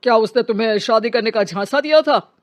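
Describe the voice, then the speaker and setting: Woman voicing scornful man in high voice. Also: brisk, muffled recording, close to an everyday voice. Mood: questioning. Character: male characters: gruff policemen and scornful angry men. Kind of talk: dramatised Hindi story dialogue.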